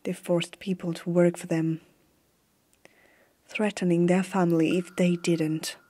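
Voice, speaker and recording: A woman playing a stern, haunted warrior empress in a hushed, deliberate fantasy ASMR roleplay. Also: fairly low pitch, flat delivery, breathy edge. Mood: grave, bitter. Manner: slow, hushed, deliberate dramatic delivery.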